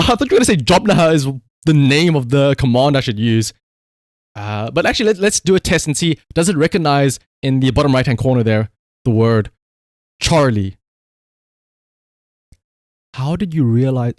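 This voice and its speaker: South African male game streamer, excitable, very lively pitch, dry close sound. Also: no echo. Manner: animated, excitable, exclamatory. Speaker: livestreamer. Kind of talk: live gaming stream commentary, calling out voice commands.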